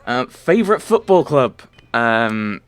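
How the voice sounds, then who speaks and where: A man's voice: medium pitch, sing-song, slow pace, clean tone. A young man from Merseyside answering viewer questions in a casual, rambling voice-over, with many ums and self-corrections. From Merseyside, England. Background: faint game music.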